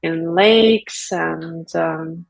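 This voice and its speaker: A woman from Lithuania speaking English, talking in a reflective, unhurried way with frequent fillers and soft laughs as an interview guest. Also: low voice, gravelly. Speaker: guest, brand founder. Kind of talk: casual interview talk.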